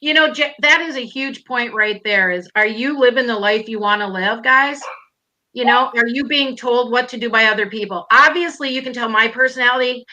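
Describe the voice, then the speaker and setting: Lively Minnesota woman in her fifties. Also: a little high, normal speed, highs cut off. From Minnesota, USA. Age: 50s. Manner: excitable, talks straight to viewers, blunt. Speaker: host. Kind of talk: casual webinar chat.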